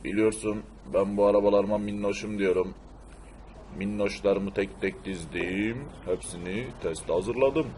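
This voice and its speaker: A man playing a gruff, plaintive fellow who fusses over his beloved cars in an amateur cartoon dub. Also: on the low side, measured pace, a little mellow, slight background noise, natural voice. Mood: proud, relaxed. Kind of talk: amateur cartoon-style game video dub.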